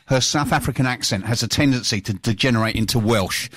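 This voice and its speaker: A man's voice: medium pitch, quick pace, fairly bright tone, no room sound. A man hosting a radio chat show in a studio, teasing and dry, stumbling over restarts. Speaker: radio host.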